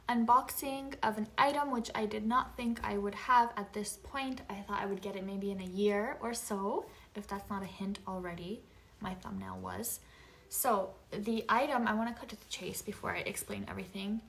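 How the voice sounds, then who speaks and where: A woman from Japan whose first language is Japanese, chatting casually to camera in a vlog. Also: a little high. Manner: chatty, conversational, frequent filler words. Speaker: vlogger. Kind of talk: casual unboxing chat.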